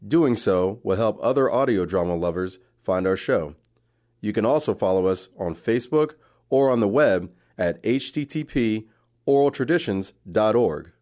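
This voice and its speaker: A man's voice: fairly low pitch, expressive, normal speed, darkish tone. A man reading scripted end credits as the announcer of an audio drama. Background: plucked guitar music.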